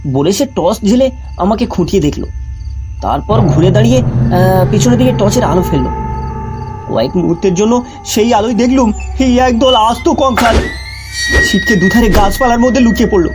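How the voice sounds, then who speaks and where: A man narrates a first-person ghost story in a measured storytelling voice. Clear music runs behind him, along with the chirp of night crickets. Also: high voice, lively intonation.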